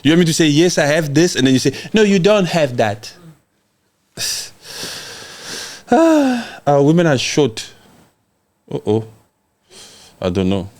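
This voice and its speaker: A man's voice: fairly high pitch, big pitch swings, measured pace, pauses often, a little mellow. A man hosting a casual livestream, reading out viewer comments and answering them in a brash, mocking, joking way, often breaking into laughter. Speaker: livestream host.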